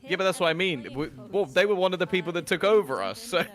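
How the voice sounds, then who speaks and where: A man's voice: sits high, animated, quick pace, fairly bright tone. A man from South Africa who hosts a watch-party stream, rambling and swearing freely in casual chat.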